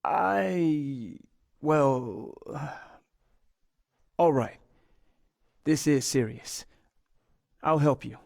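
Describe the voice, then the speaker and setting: A man's voice: on the high side, expressive, drawn-out words, vocal fry, long pauses, darkish tone, dry recording, studio quality, exaggerated voice. An actor playing an earnest university scientist in a video game, polite and a little hesitant as he explains things. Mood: worried. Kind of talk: video game dialogue acting.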